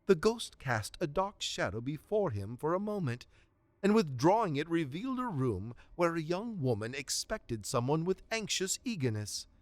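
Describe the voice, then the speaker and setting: A man's voice: fairly high pitch, big pitch swings, normal speed, dry recording. A man reading dramatic scripted narration for a radio-style story.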